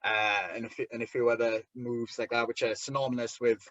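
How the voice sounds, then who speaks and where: A man with a thick Welsh accent, a wrestler chatting as an interview guest, talking haltingly with lots of restarts and fillers. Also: medium pitch, level tone, a bit bright, no echo, dull audio. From Wales. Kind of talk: casual interview chat.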